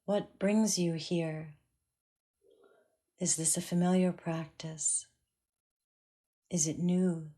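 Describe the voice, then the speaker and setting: A woman teaching a guided meditation in a calm, measured way with long pauses. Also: on the low side.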